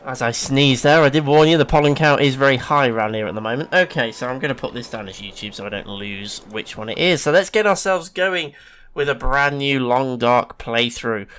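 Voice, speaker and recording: A man's voice: mid-range voice, a little fast, a bit bright, clean tone. An English man with a sniffly hay-fever nose gives casual, chatty, self-deprecating let's play commentary as a gaming host. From England.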